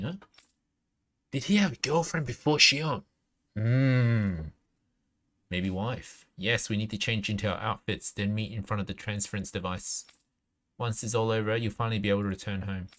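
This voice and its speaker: A man livestreaming a video game, reading dialogue aloud haltingly and dropping in his own reactions. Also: lowish voice. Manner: reads on-screen text aloud haltingly, interjects reactions. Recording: clearly noisy mic with clicks.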